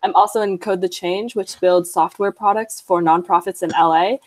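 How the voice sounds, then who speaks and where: A young woman from Arizona giving a brisk, upbeat introduction as a student ambassador. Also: on the low side, fairly even intonation, a little mellow. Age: young adult. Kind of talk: casual self-introduction.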